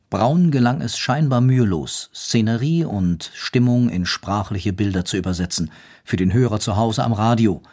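Man, low-ish voice, documentary narrator, scripted narration. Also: a little mellow, slightly rough.

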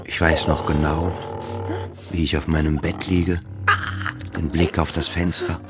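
Deep, slow-spoken despairing man. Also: flat delivery, heavy background noise. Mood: bleak, brooding. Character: despairing depressed man recounting suicidal thoughts in hospital. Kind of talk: radio play monologue, inner-voice narration.

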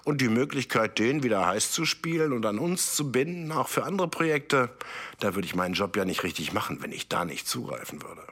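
An actor playing a calculating, self-assured broadcast executive talking in a mockumentary interview. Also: male voice, mid-range voice, very animated, fairly quick, fairly bright tone.

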